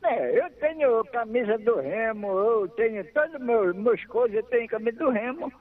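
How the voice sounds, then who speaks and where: A man's voice: very high voice, lively intonation, normal speed. A man, calling in to a radio show and chatting casually, repeating himself and laughing easily. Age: 60s. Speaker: radio call-in caller. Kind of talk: casual phone chat. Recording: tinny phone line.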